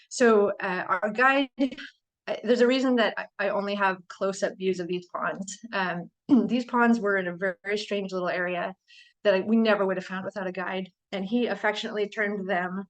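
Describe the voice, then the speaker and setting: A woman from North America giving a casual slide talk on birding. She is losing her voice, keeps clearing her throat and fills pauses with ums, but stays chatty and enthusiastic. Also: medium pitch.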